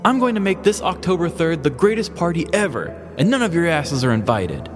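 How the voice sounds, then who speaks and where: A man voicing a brash, defiant young party girl, loudly self-righteous, in a comedic storytelling podcast. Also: on the high side, sing-song, brisk, big-room sound, over music, pitched above the performer's own voice. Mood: indignant, defiant.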